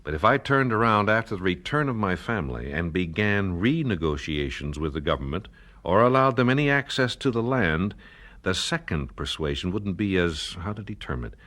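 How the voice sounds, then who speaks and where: An actor playing a worried, wealthy landowner in a 1970s radio drama, speaking in a hesitant, measured, troubled way. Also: male voice, low voice, big pitch swings, fairly bright tone. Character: weary wealthy landowner, worried father of a kidnapped family.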